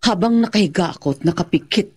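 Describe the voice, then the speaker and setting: Actress playing wicked sorceress, wildly swooping voice. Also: female voice, medium pitch, lively intonation, quick pace, darkish tone, close to an everyday voice. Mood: gloating, menacing. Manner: theatrical, gloating, haughty. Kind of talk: radio horror drama acting. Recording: dramatic music behind the voice.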